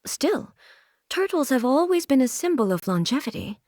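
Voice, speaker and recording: An actress voicing a solemn, aloof immortal shogun and swordswoman in a video game, with formal, measured, stately delivery. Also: high voice, very animated, darkish tone, no room sound, clean studio sound. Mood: reflective, composed. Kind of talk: video game character voice lines.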